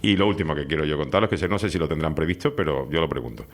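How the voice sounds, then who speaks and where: A man's voice: a bass voice, very fast. A middle-aged man hosting a radio show, chatty and teasing with his guests. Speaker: radio host. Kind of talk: casual radio interview.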